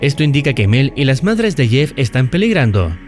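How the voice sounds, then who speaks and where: A male narrator delivers a scripted recap in an explanatory storytelling manner. His intonation moves around in a lively way while staying measured. Soft music plays underneath his voice. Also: medium pitch. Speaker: narrator of video recap and analysis. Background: background music.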